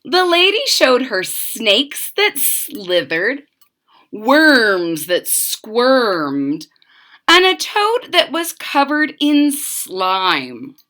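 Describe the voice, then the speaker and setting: A woman's voice: a little high, wildly swooping pitch, pushed and bright. A woman reading a children's story aloud expressively, stressing and drawing out key words and adding explanatory asides. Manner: dramatic emphasis, drawn-out key words. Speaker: storybook reader.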